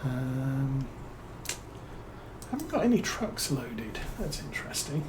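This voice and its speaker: A man with a British accent giving relaxed let's-play commentary as a streamer, musing and thinking aloud with plenty of fillers. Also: medium pitch, slow pace, background noise. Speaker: game streamer.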